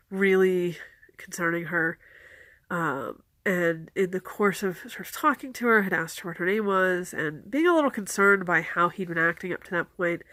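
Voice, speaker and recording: A woman with a stuffed-up nose from a cold, calmly explaining folklore and giving practical advice in a casual, earnest way. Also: lowish voice, dry recording.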